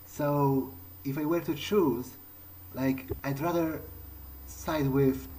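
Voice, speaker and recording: A man's voice: on the high side, steady tone, takes it slowly, a little mellow, slight background noise, rough recording. A man narrating a video game playthrough in character, in a hesitant, musing, conversational way. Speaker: let's play host. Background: game music.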